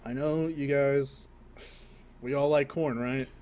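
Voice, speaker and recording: A man's voice: mid-range voice, fairly even intonation, slow pace, darkish tone, slight background noise. A man co-hosting a casual, joking podcast, talking in a slangy, mock-indignant way with plenty of swearing. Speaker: podcast co-host.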